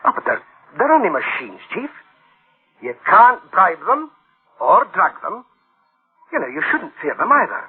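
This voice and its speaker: A man's voice: high voice, huge pitch swings, a little slow, long pauses, phone-quality sound, faint music behind, heavily acted. An actor playing an eager, dismissive engineer in a radio drama, insistent and colloquial in his reassurances. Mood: insistent, reassuring. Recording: band-limited old radio drama sound.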